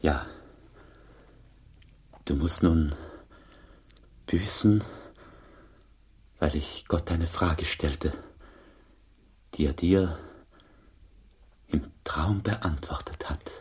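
A grave humble old monk in a radio drama, super low voice, really breathy and rough. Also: male voice, exaggerated voice. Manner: solemn, measured. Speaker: actor and first-person narrator. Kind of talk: German radio play acting.

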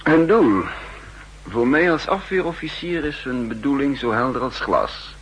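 An actor playing a shrewd, self-assured chief of counter-espionage in a 1960s radio drama, pedantic, dryly ironic and in command. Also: male voice, medium pitch, expressive, unhurried, a bit bright, a little hiss or hum, rough recording. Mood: assured, explaining. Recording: old 1960s radio recording.

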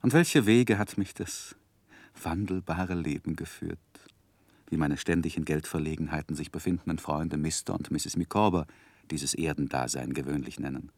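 Deep-voiced, reflective young man narrating. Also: darkish tone, no echo. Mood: wistful. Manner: measured, literary, deliberate phrasing. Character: reflective, earnest young man recalling his youth. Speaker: first-person narrator. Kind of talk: 1960s German radio drama narration.

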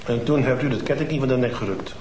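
An actor playing a stern police detective who questions suspects insistently. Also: male voice, medium pitch, fast, a little mellow, slight background noise, lightly acted. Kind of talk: TV crime drama acting. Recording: roomy TV soundtrack with music.

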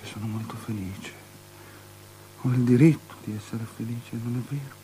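A man's voice: mid-range voice, a little mellow, a little hiss or hum, close to an everyday voice, poor audio. An actor playing a lecherous, fearful biblical king in a theatrical drama, stammering and repeating himself obsessively between whispers and shrieks. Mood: wheedling. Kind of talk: avant-garde theatrical radio drama.

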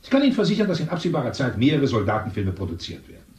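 A man playing a brisk, condescending casting-agency clerk in a 1970s radio play. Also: mid-range voice, huge pitch swings, darkish tone, far from the mic. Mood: brusque. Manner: curt, condescending, brushing off. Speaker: agency secretary. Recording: echoey room, off-mic.

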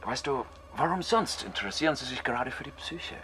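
An actor playing a troubled, analytical astronaut scientist who speaks in a halting, pensive way in a radio play. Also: male voice, medium pitch, expressive, bright, forceful tone, a little hiss or hum. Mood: uneasy, reasoning.

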